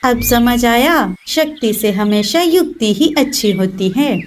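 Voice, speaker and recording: Woman voicing a high-pitched clever mother bird. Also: animated, slow pace. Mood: smug, triumphant.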